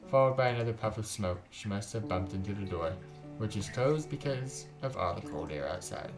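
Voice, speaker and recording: A man's voice: lowish voice, animated, fairly quick, music in the background. A man hosting a gaming video, reading a visual novel aloud with casual reactions.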